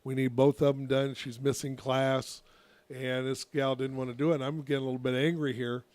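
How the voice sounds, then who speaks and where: A man, a youth softball coach, telling a long story on a casual radio talk show, with a naturally loud voice and many restarts. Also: mid-range voice, level tone, a little fast, dry recording.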